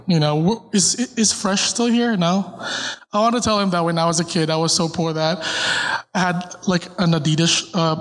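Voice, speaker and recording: A man's voice: a little high, a bit bright. A young man giving a live storytelling talk to an audience, joking and self-deprecating, chatting with the crowd and stammering through restarts. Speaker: guest speaker at a live storytelling event. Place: event room with a live audience.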